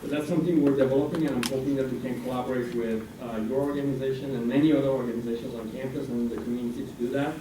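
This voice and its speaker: A man's voice: medium pitch, fairly even intonation, very fast, few pauses, far from the mic. A man in his forties, raised in France and Iranian American, giving a hesitant, filler-filled presentation to a student senate as a guest speaker. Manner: hesitant, many fillers and restarts. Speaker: guest speaker, international center director. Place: university meeting room. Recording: roomy hall recording from afar, noisy.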